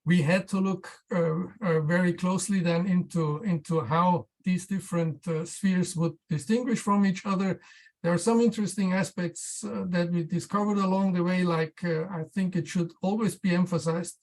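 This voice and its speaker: A researcher giving an informal technical presentation, speaking haltingly and often repeating words while searching for the right phrasing. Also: medium pitch, fairly even intonation, darkish tone. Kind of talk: technical talk, loosely scripted presentation.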